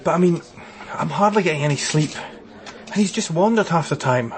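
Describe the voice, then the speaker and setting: A man's voice: on the high side, lively intonation, fairly quick, a little hiss or hum, natural voice. An actor playing a tired, worried young male detective in a radio crime drama, hesitant and downcast. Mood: worried, weary.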